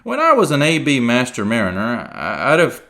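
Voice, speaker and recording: A man's voice: mid-range voice, wildly swooping pitch, moderate pace, a bit bright, voice pushed up. A man reading aloud and voicing a cunning, one-legged pirate cook who blusters in salty sea talk. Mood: wheedling.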